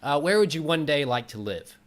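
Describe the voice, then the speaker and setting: A man's voice: a little high, expressive, brisk. A man hosting a talk show in a casual interview style, recorded in a bedroom.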